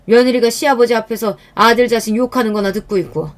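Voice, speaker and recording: A woman's voice: fairly high pitch, fast talker, close to an everyday voice. A woman voicing an old father-in-law who wails and rants in outrage. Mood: outraged. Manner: wailing, dramatic complaining. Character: old grumbling father-in-law, quick to rage.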